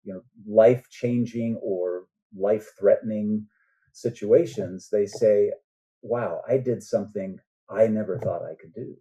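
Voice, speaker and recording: A man's voice: fairly low pitch, measured pace, warm tone, poor audio. An older man chatting casually as a guest author, rambling a little and often checking in with "right?". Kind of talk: casual interview chat.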